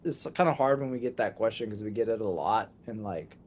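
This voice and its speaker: Upbeat young man with a deep voice. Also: darkish tone, a little hiss or hum. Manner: upbeat, eager, talks in quick bursts. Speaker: band member guest. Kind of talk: casual interview chat.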